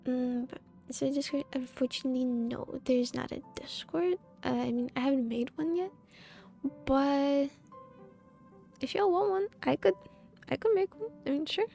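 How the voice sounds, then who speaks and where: A woman's voice: high voice, moderate pace, gravelly, dark tone, soft background music. A woman chatting casually as she live streams a game, giggly and meandering.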